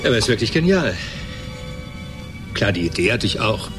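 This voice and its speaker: An actor playing a boastful, hard-drinking former student tinkerer in a German radio play, colloquial and halting. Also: male voice, on the low side, very animated. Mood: wry, envious. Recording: 1980s radio drama with music.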